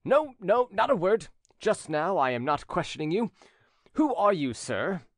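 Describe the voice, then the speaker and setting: A man voicing an enraged tall young duke with a loud, commanding delivery in an audiobook. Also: sits high, very animated, a little fast, dry recording, clean studio sound, voice pushed up, heavily acted. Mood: furious. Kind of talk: audiobook reading.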